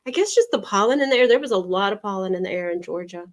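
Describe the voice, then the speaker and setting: A woman with a stuffy, congested voice chats casually on a live stream, rambling along with plenty of ums and likes. Her pitch sits in the middle of the range, and she talks in a loose, conversational way. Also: expressive, quick pace. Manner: chatty, rambling, frequent fillers. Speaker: yarn business co-host.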